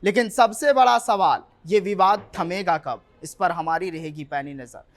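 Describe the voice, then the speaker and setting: A man's voice: sits high, measured pace. A man presenting scripted television news in a dramatic manner as the anchor.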